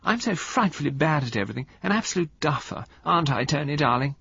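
A sweet, drawling young society woman gushes at everyone around her, as a male reader puts her on. The voice sits high and swoops a lot, a little husky. The recording is dry and dull. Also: expressive, quick pace, pushed and bright, no echo, full character voice. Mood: gushing.

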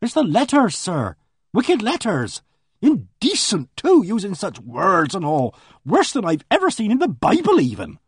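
A man's voice: sits high, wildly swooping pitch, measured pace, breathy edge, voice pushed up, full character voice. A man voicing an earnest, indignant middle-aged village charwoman who rambles breathlessly. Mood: indignant, rambling. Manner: breathless, rambling country speech.

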